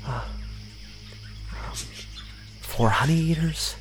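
An actor playing an anxious, defiant man in an audio drama, speaking haltingly. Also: mid-range voice, over music, close to an everyday voice. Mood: hushed excitement. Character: Griffin, anxious, defiant man sneaking out at night.

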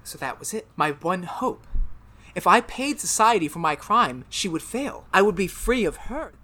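A woman voicing a man haunted by voices and driven into murderous madness, in hushed narration and frantic outbursts on a noisy recording. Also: mid-range voice, lively intonation, moderate pace. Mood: brooding. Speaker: narrator and lead figure. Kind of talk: radio drama narration and acting.

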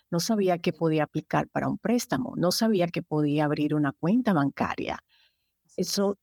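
A woman in her fifties from Colombia, answering interview questions in a measured, thoughtful way with frequent hesitations. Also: low-pitched, darkish tone, dry recording, studio quality. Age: 50s. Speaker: guest, immigration agency director.

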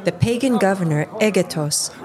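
A woman's voice: medium pitch, lively intonation, normal speed, a crowd in the background. A woman narrating a scripted religious story in a storytelling style.

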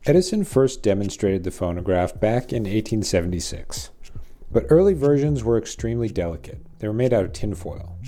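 A man's voice: on the low side, dark tone, a little hiss or hum. A man narrating a scripted story feature as host, with wry, dry asides.

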